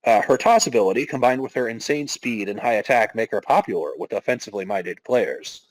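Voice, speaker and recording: A man's voice: medium pitch, brisk, no echo, low-quality recording. A man hosting a podcast, giving a dramatic reading with casual, wry asides. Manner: wry, self-interrupting commentary. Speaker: podcast host.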